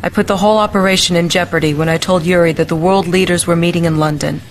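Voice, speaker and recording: A woman's voice: fairly low pitch, fairly even intonation, fast talker, slight background noise, lightly acted, poor audio. A young woman playing an earnest, dutiful military lieutenant in a game cutscene, speaking formally. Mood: contrite. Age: young adult. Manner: formal, crisp military reporting.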